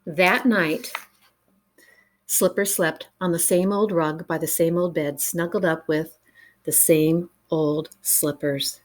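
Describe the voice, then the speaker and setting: A woman reading a picture book aloud to children in an expressive way, with dramatic pauses and chatty asides to the listeners. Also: deep voice. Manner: animated, dramatic pauses, engages young listeners with questions.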